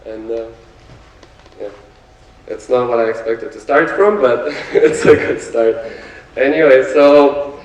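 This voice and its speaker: A man's voice: medium pitch, slow pace, long pauses, a little mellow, far from the mic. A man from Lithuania, a guest artist giving a live panel talk to an audience, speaking with many fillers and restarts. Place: event hall with audience. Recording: echoey room, voice from off the mic.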